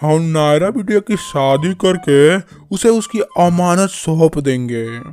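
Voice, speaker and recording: An earnest, protective village uncle of middle age, played by a man in a cartoon tale. He talks very slowly in a fairly high voice, over background music. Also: expressive, darkish tone. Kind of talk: Hindi cartoon story dialogue.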